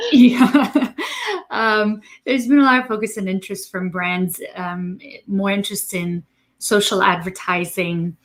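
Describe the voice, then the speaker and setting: A woman from Romania speaking as an interview guest, conversational and a bit halting as she repeats words while thinking. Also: mid-range voice. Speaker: interview guest, digital marketing agency president.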